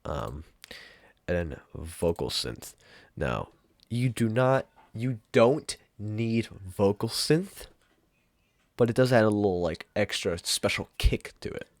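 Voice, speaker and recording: Young guy with a deep voice rambling casually through a software tutorial, dry sound. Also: sing-song, takes it slowly, no room sound. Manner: informal, rambling, mild swearing. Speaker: tutorial host. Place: room at home.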